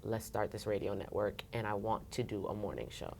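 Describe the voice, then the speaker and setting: Very low-voiced New woman. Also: brisk, vocal fry, darkish tone, husky. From Brooklyn, New York. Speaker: interview guest, radio host. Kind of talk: casual interview chat.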